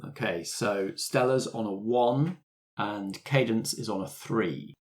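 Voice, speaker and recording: A man's voice: lowish voice, slow pace, a little hiss or hum. Casual man running a tabletop game. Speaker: game master.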